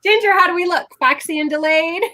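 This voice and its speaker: A woman co-hosting a live crochet show over a video call, chatting in an unhurried, giggly way and greeting viewers. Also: very high-pitched, bright, forceful tone, no room sound. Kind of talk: casual chat on a livestream.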